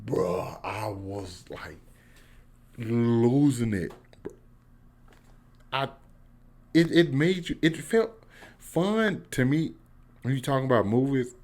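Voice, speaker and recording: Excitable American man, slangy movie reviewer. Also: mid-range voice, slow, long pauses. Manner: slangy, informal, excitable, emphatic. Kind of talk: casual one-take vlog review.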